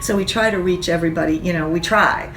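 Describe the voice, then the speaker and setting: Gravelly, low-voiced woman over a rumble. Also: quick pace, fairly bright tone, soft background music, low-quality recording. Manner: matter-of-fact, listing figures. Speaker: literacy program representative. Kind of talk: informational explanation.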